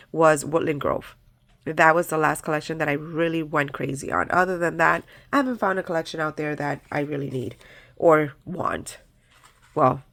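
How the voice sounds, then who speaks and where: A woman's voice: low-pitched, gravelly, fairly bright tone, no echo. A woman with a head cold and a nasal voice, chatting casually through a craft supply haul with lots of ums and likes. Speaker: crafting channel host. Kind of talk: casual haul video chat.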